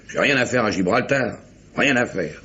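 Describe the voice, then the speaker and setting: Un acteur jouant un capitaine de navire marchand anglais, bourru et impatient, au parler direct et familier, dans une dramatique radio policière française. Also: male voice, on the low side, lively intonation, moderate pace, bright, forceful tone. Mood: impatient, grumbling. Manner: blunt, colloquial, grumbling. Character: gruff, impatient male English merchant sea captain. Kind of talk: French radio mystery drama acting. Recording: dull, noisy vintage radio recording.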